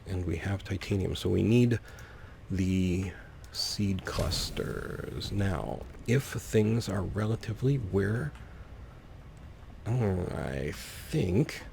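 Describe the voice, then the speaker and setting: A man with a lowish, creaky voice gives casual gaming commentary. He thinks aloud playfully and takes his time, with faint noise underneath. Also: darkish tone. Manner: unhurried, thinking aloud, playfully addresses objects. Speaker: gaming video host. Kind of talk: casual let's play commentary.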